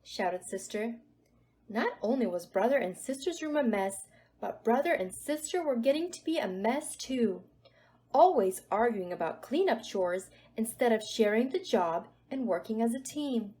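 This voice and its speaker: A woman's voice: fairly high pitch, animated, moderate pace, mellow. A woman narrating a children's storybook aloud expressively, with dramatic emphasis on key words. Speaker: storybook reader.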